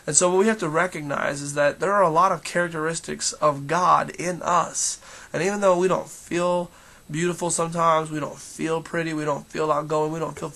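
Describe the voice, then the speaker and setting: A man with a hoarse voice giving an earnest, encouraging daily devotional vlog, full of fillers and restarts. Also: a little high, pushed and bright. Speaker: church leader giving a daily video devotional.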